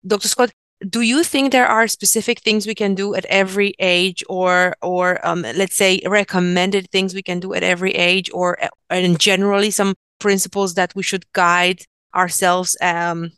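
Smooth, flat-voiced woman from Romania, hesitant. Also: mid-range voice, normal speed, dry recording. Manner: hesitant, searching for words, many "um"s. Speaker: interview host. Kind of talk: interview conversation.

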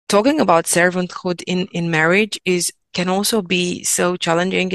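A woman from Romania hosting a conversation, speaking thoughtfully with many pauses and fillers. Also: on the low side, fairly bright tone, no room sound. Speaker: host, interviewer. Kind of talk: conversational interview.

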